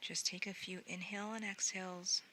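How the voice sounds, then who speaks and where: A woman guiding a sleep meditation in a gentle, soothing, unhurried way, often softening into breathy near-whispers. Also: mid-range voice, little pitch movement, slight background noise, rough recording. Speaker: meditation guide.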